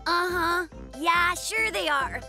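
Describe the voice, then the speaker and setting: A voice actor playing a gentle little boy bear cub, dry and sensible, in a children's cartoon. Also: very high voice, slow pace, heavily acted. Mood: skeptical. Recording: music bed under the voice.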